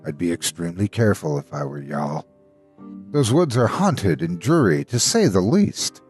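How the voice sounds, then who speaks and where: Guy playing a friendly husky villager in a fairy tale, hearty and dramatic, voice jumps around, music behind. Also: low-pitched, big pitch swings, moderate pace, darkish tone, full character voice. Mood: earnest, grave. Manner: hearty, theatrical, exclaiming. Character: jolly, friendly village man, earnest and easily indignant. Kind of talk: audio storybook character acting.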